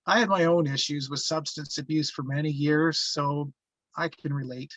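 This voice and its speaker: A man reading a haunted, reflective first-person narrator looking back on his youth, halting in places, on slightly muffled audio. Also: on the high side, fairly even intonation, fairly bright tone, no room sound. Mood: reflective, regretful. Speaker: actor reading the narrator at a table read.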